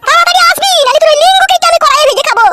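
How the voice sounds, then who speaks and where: A man's voice: extremely high pitch, animated, very noisy. A man voicing a foul-mouthed, jealous wife who scolds and quarrels in an amateur comedy cartoon. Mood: furious. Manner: scolding, rapid-fire quarrelling.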